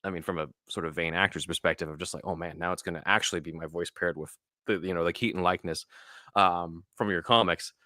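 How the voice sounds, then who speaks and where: A man's voice: low voice, fairly even intonation, fast talker, pushed and bright, no echo, clean studio sound. A man speaking as an interview guest, a writer and voice actor chatting casually about his work.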